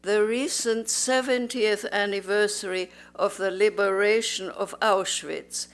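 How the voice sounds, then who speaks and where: A woman in her nineties, born in Austria, giving a slow, measured, formal speech as a museum volunteer in a museum auditorium. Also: medium pitch. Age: 90s. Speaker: museum volunteer and Holocaust survivor. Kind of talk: prepared public speech.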